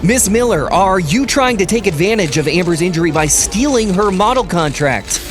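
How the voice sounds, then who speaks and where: A woman's voice: lowish voice, animated, normal speed, faint music behind, lightly acted. A woman playing scandalised bystanders in a dramatised audio story, exclaiming and questioning. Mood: accusing. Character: dramatic, scandalised women: stylist and prying reporter.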